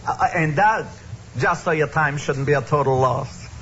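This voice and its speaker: An actor playing a fretful, kindly older deli owner with a New York Yiddish accent, pleading and emphatic, in an old radio drama. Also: male voice, a little high, normal speed, fairly bright tone. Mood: apologetic, friendly. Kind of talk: old-time radio acting. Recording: muffled, noisy old radio recording.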